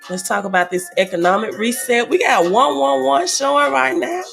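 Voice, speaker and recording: A woman chats casually on her livestream, her voice gravelly and a little hoarse from a cold. She talks loosely with plenty of fillers, reading out viewer comments as they come in. Faint music sits behind her. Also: fairly high pitch, moderate pace, fairly bright tone. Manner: chatty, reads viewer comments aloud, many fillers. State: slightly hoarse from a head cold. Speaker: livestream host.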